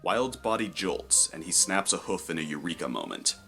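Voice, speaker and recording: A man's voice: deep voice, sing-song, normal speed, gravelly, sharp tone, soft background music. A man reading scripted, dramatic third-person story narration for a fantasy audio drama.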